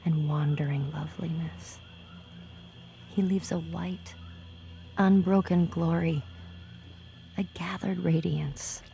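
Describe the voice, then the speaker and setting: A woman reading poetry and quotations for game audio logs in a soft, contemplative, measured way with long pauses. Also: low voice, vocal fry, slight background noise, full character voice. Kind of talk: reflective recitation of poetry and quotations. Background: game ambience.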